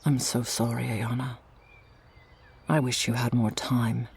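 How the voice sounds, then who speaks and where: Woman playing a husky, world-weary orca girl. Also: a bass voice, level tone, a little slow, a little mellow, full character voice. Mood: somber. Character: earnest, world-weary orca girl, ex freak show performer. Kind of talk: ASMR audio roleplay acting.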